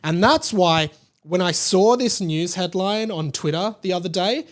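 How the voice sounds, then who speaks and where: A man's voice: sits high, unhurried. A man hosting a podcast, talking casually and emphatically in an informal, gushing fan style. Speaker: podcast host. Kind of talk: casual opinionated podcast monologue about pop culture.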